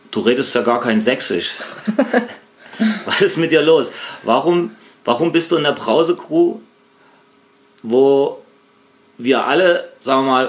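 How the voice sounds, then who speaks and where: A man hosting a casual fan podcast, teasing his co-hosts with playful questions. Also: medium pitch. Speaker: podcast host.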